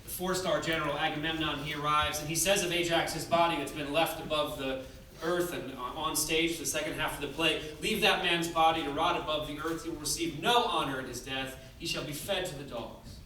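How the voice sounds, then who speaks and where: A man speaking as director and host to a theater audience, explaining in an earnest, measured way. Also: a little high, fairly even intonation, bright, forceful tone. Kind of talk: public address to a theater audience. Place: theater, addressing live audience. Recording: echoey theater, distant, noisy.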